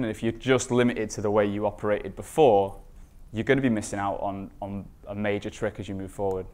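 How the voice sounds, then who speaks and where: Male conference speaker, mid-range voice, informal and emphatic presenting style with rhetorical questions. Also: medium pitch. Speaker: conference speaker, recruitment marketing expert.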